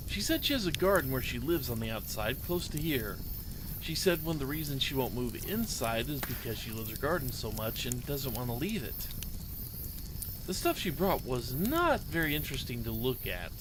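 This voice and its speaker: A man's voice: mid-range voice, noisy background. A man reading a short story aloud as a dramatic narration. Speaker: story reader.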